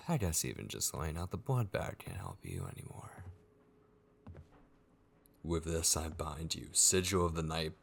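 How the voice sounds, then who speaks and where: A man voicing a sinister, possessive figure taunting a captive vampire in an ASMR horror roleplay, husky and often dropping into a whisper, coaxing with mock tenderness. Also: deep voice, a little hiss or hum, heavily acted. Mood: urgent, straining. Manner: slow, coaxing, mockingly tender. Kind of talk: ASMR horror roleplay, intimate dramatic monologue.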